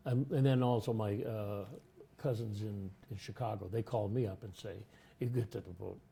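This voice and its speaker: An older man hosting a casual talk show, speaking in a halting way with many fillers and restarts. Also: fairly low pitch, fairly even intonation, vocal fry, dark tone, breathy edge. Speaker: host. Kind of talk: casual chat. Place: studio.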